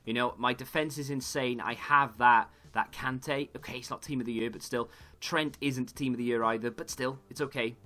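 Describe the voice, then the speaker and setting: A man, slightly hoarse, rambling through casual gaming commentary and correcting himself as he goes. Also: mid-range voice, fairly even intonation, normal speed, hardly any pauses, bright, forceful tone. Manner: rambling, self-correcting chatter. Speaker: gaming YouTuber.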